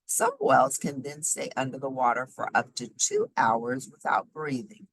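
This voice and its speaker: A woman reading a children's nonfiction book aloud in an expressive, emphatic, teacherly way, pausing for short explanatory asides. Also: very deep voice, slightly rough, no echo, low-quality recording. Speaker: read-aloud host.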